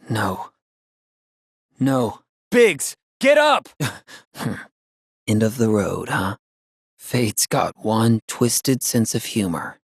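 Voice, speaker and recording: A man's voice: mid-range voice, slightly rough, no echo, clean studio sound, full character voice. A man playing a brave, cocky young soldier hero in a video game, casual and earnest, loyal and defiant. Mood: bitter, grieving. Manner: casual, wisecracking, earnest, expressive.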